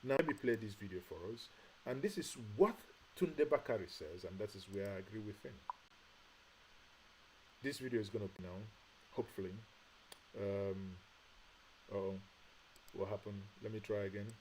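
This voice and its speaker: A man's voice: lowish voice, normal speed, pauses often, darkish tone, slight background noise, low-quality recording. A Nigerian man giving impassioned live-stream commentary, straining his voice and repeating rhetorical questions. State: straining, says he is shouting and has a headache. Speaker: online commentary host.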